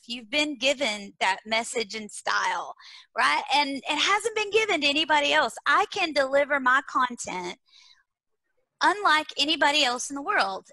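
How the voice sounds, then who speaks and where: Woman, Texas accent, high voice, dry close sound, earnest motivational talk. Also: pushed and bright, no room sound. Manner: earnest, motivational, repeats key phrases. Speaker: guest speaker on leadership. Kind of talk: conversational interview.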